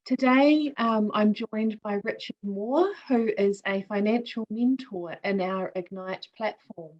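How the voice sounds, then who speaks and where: A woman hosting a conversation on a video call, speaking in a measured way with a few ums. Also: medium pitch, highs cut off. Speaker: host.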